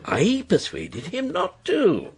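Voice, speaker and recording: A woman's voice: fairly high pitch, huge pitch swings, takes it slowly, a little mellow, heavily acted. An actress playing an elderly, fussy mother in a radio drama, rambling and wavering. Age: older. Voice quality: creaky, breathy, swooping voice.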